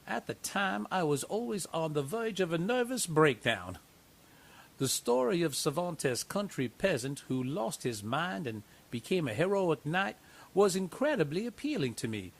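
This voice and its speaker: A man's voice: fairly high pitch, creaky. A man narrating and playing an investigator in a radio drama, in a wry, conversational way.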